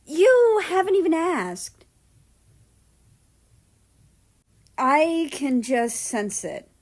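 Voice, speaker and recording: A woman plays a young man in a storybook reading, casual and teasing, easily exasperated. The voice is fairly high for the part and slangy, with drawn-out, slow phrasing. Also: sing-song, full character voice.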